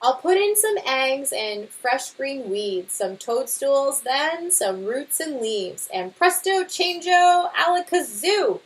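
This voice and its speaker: A woman's voice: high-pitched, big pitch swings, takes it slowly, hardly any pauses, exaggerated voice, low-quality recording. A woman voicing a boastful, bumbling father bear in a storybook read-aloud, with an emphatic manner. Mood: showy.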